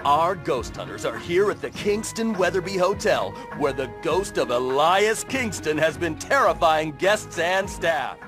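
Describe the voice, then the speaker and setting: A man playing a showy, excitable TV ghost show host in a cartoon game, announcing theatrically. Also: on the high side, unhurried, hardly any pauses. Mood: grand, announcing. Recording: music behind the voice.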